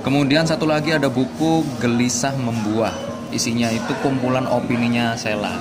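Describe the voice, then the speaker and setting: A man with a nasal, hoarse voice hosting a casual podcast with a jokey, laughing manner. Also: medium pitch, steady tone, moderate pace, over loud music. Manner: joking, laughs often. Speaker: podcast host.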